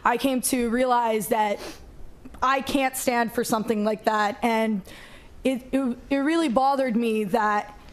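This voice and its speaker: A woman in her twenties from Canada, speaking earnestly as a seated panelist and pausing often with "um". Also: on the high side, fairly even intonation. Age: 20s. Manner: earnest, many "um" fillers.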